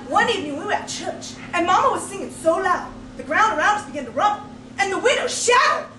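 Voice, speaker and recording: Woman, very high pitch, dramatic comic storytelling, emphatic pauses, far from mic, echoey. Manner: folksy storytelling turns of phrase. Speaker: competitive speech contestant telling a tall tale. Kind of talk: theatrical first-person comic tall tale storytelling. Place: indoor speech contest room, distant microphone. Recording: roomy, noisy live recording from far off.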